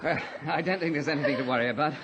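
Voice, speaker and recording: A man playing a capable small-plane pilot who fights to stay calm, in a radio adventure drama. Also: on the high side, rapid-fire, lightly acted. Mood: controlled, reassuring. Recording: noisy vintage radio drama recording.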